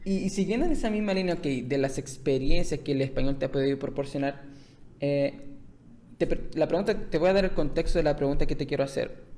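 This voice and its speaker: A man's voice: fairly high pitch, fast, dark tone. A man from Chile hosting a podcast interview over a video call, joking and laughing often. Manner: laughs often, jokes, restarts words. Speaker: podcast host.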